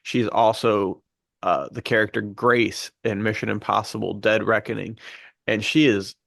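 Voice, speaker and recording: Dude podcast cohost, gravelly voice, dry close sound, casual chat, keen on stuff. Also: male voice, fairly low pitch, steady tone, normal speed, a bit bright, no room sound. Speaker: podcast co-host.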